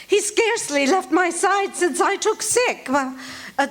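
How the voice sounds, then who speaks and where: A woman's voice: very high voice, expressive, measured pace. A woman acting a frightened bedridden wife in a live radio play, stammering and sobbing. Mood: anxious. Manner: frantic, stammering, breaks into sobs. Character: frightened bedridden wife, middle-aged, anxious and demanding.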